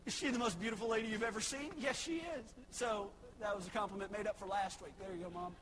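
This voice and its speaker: A young man preaching a sermon, swinging from shouted exhortation to soft, folksy asides. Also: sits high, fast talker. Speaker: pastor.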